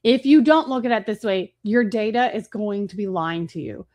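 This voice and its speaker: A woman hosting a marketing webinar, talking fast and casually with fillers and restarts. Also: mid-range voice, lively intonation, darkish tone. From Chicago, USA. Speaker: webinar host.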